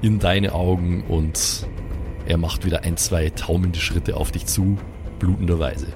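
A man's voice: low voice, steady tone, a little slow, over music. A man acting as game master, improvising vivid narration with colloquial asides.

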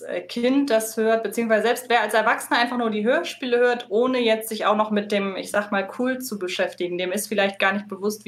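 Woman chatting on a podcast, wordy, explains everything, medium pitch. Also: fairly bright tone, slight background noise. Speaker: podcast co-host appearing as guest. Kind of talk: casual podcast conversation.

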